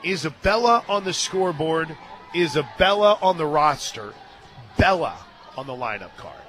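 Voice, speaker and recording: A man doing live radio play-by-play of softball, mixing excitable calls with chatty asides. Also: fairly high pitch, moderate pace, some chatter behind. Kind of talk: live softball commentary mixed with casual chat. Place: stadium radio booth.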